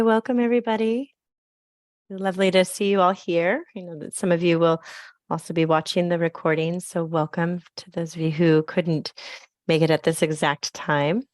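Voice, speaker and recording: A woman with a scratchy, raspy voice, softly leading a slow grounding meditation on a video call. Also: on the low side, dry recording, studio quality. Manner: soft, slow, gently inviting. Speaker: program facilitator.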